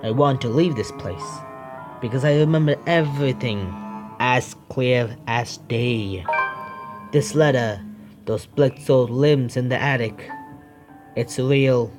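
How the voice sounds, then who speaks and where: A male streamer with reads a visual novel aloud at a medium pitch and adds his own commentary. He is halting, often restarting phrases, and faint music sits behind him. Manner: halting reading with stumbles and self-corrections.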